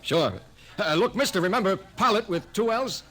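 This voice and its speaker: A man's voice: sits high, animated, normal speed, sharp tone, full character voice. An actor with an American accent playing a skeptical, folksy working-class man in an old radio drama. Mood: dismissive. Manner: folksy, dismissive colloquial talk.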